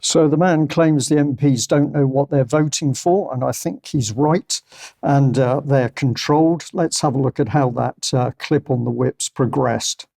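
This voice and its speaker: A man's voice: medium pitch, a little slow, gravelly, warm tone. A man presenting and commenting on political news in a loosely unscripted way.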